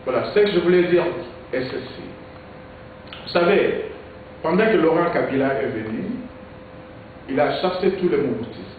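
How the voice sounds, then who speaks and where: Congolese politician from Kinshasa, man, halting answers full of repeats, recorded far off in a noisy echoey room. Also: on the high side, expressive. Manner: halting, repeats words, many fillers. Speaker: politician, presidential hopeful. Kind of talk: interview answers. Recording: echoey, distant, phone-like with noise.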